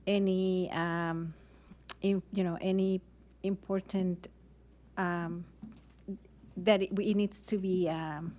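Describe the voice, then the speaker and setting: Female committee member, even-toned and a bit low, feeling her way through a spontaneous question. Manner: halting, searching for words.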